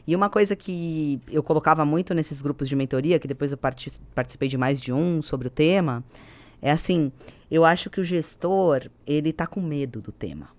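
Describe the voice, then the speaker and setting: Low-pitched Brazilian woman, emphatic explainer. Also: moderate pace, darkish tone. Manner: emphatic, explanatory, checks in with tag questions. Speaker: business executive guest. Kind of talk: podcast interview conversation.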